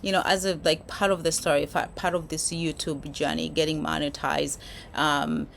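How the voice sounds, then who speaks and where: A woman's voice: low voice, flat delivery, normal speed, gravelly, a little hiss or hum. A woman from Uganda, a YouTube creator, talking casually to camera with many restarts and fillers. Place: filming while traveling abroad.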